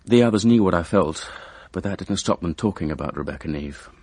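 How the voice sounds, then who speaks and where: Casting: squeamish young English narrator in radio drama, sardonic and understated, slightly low, rough-edged voice. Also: male voice, animated, a little fast, a little mellow, dry recording. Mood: brooding, reflective. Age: young adult. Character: squeamish, sardonic young son narrating his own story. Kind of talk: radio drama first-person narration and dialogue.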